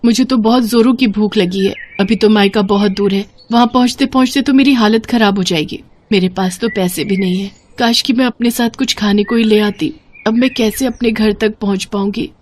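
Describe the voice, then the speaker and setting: A woman voicing a meek, dutiful young daughter-in-law in a Hindi cartoon story, polite and expressive. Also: mid-range voice, moderate pace, a little hiss or hum, close to an everyday voice. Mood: weary, wishing.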